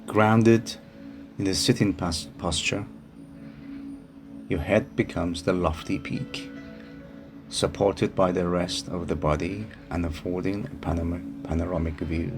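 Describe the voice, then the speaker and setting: Slow, low-voiced man guiding meditation. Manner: calm, soothing, unhurried phrasing. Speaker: meditation guide. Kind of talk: guided meditation narration. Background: music behind the voice.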